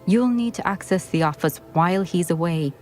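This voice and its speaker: A woman playing a dignified former headmistress in a video game, speaking in a formal, measured manner. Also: fairly low pitch, darkish tone, soft background music, natural voice. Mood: grave, measured. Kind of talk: video game cutscene acting.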